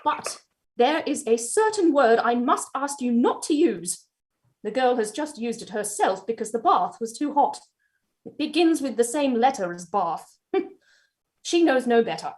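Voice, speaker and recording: A prim housekeeper acted by a woman in a stage play, high-pitched and very animated. She is proper and deferential, yet firmly insistent. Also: unhurried. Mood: firm reproof. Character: prim, firm, respectful housekeeper scolding her master.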